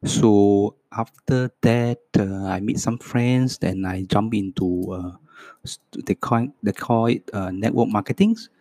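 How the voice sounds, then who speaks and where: A man in his fifties speaks English with a Hong Kong Cantonese accent, his first language being Cantonese. He talks very slowly and softly in a low voice, stumbling through repeated words and fillers. The sound is dry and close. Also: steady tone, dry recording. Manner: slow, soft, halting with many fillers and repeats. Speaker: podcast host. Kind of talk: reading a self-introduction script.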